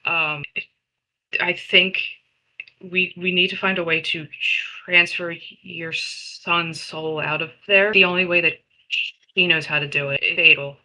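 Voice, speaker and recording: A woman playing a tabletop roleplaying game, improvising in character in a halting, earnest way with repeated phrases. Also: lowish voice, steady tone, bright, forceful tone, poor audio.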